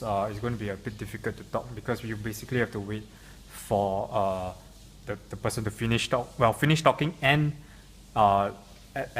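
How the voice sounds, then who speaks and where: A man giving an unscripted product demonstration in a hesitant, stop-and-start way. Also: lowish voice. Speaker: software demonstrator. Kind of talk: unscripted product demo explanation. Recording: clearly noisy room recording.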